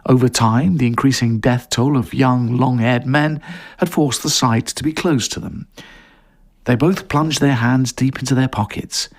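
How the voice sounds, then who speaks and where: A man's voice: medium pitch, steady tone, measured pace. A man reading a scripted audio story as narrator.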